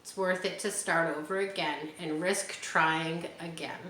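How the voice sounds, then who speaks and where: A woman's voice: fairly low pitch, steady tone, measured pace. Woman reading a picture book aloud. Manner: gentle, adds chatty asides for kids. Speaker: children's book reader. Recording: echoey room with faint hiss.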